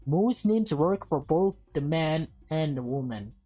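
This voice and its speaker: A woman's voice: low voice, expressive, measured pace, muffled recording. Woman reading as a tender young lover, music behind. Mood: teasing. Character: young lover in a visual novel, tender and grateful.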